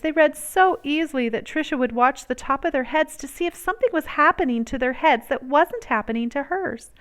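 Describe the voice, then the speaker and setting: A woman reading a picture book aloud as a storyteller, with expressive, measured and emphatic phrasing. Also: a little high, clean tone. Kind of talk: expressive read-aloud narration.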